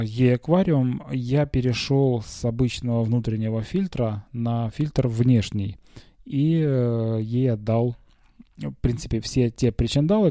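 A man hosting a personal podcast from a quiet bedroom, rambling casually and hesitantly through everyday stories, a little congested from a cold. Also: medium pitch, no echo, studio quality. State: recovering from a cold, slight cough. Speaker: podcast host. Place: small quiet bedroom, lying on a bed.

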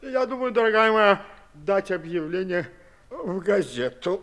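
A man's voice: sits high, unhurried, a bit bright. An actor playing a fussy, self-important, grumbling middle-aged husband and father in a 1960s radio play. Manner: self-important, fretful, theatrical.

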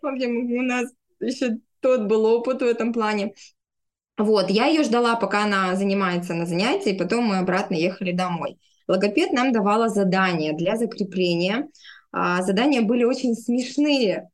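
A woman's voice: mid-range voice, normal speed. A woman in her thirties speaking conversationally as an interview guest, telling personal stories. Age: 30s. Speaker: podcast guest, speech therapist.

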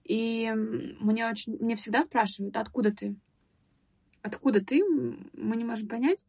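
A young woman guest on a podcast, recalling her school years in a thoughtful, hesitant way with many pauses and restarts. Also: on the high side, gravelly, a little mellow, breathy edge, dry recording. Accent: Russian speaker living in England. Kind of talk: casual podcast interview.

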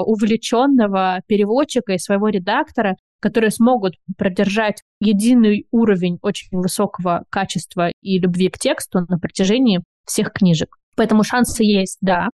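A woman speaks as a podcast guest in a relaxed, thoughtful way, pausing on fillers and laughing lightly. Her pitch sits in a middle range. The recording is dry and close. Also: dry recording. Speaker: podcast guest, bookshop owner. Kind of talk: casual interview chat.

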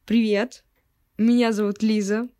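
A young woman in her twenties chats on a podcast in a relaxed, slangy way and laughs easily. Her voice sits at a middle pitch, and the recording sounds dry and close. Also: unhurried, no echo. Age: 20s. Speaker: podcast co-host. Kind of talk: casual podcast chat.

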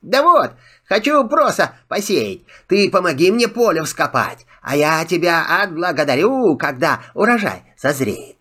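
A man voicing a sly, chatty little male rabbit trickster in a filmstrip audio drama, talking in a wheedling, flattering way. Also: fairly high pitch, measured pace, exaggerated voice. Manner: wheedling, flattering, sing-song.

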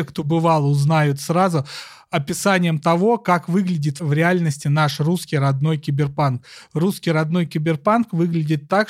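Higher-voiced man explaining to listeners. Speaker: podcast host.

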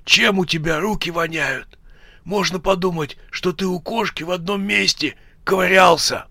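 Man putting on a high, sharp, grumpy bandit. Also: normal speed, vocal fry, no echo, heavily acted. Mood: grumbling. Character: grumpy, jittery older male bandit, called "daddy".

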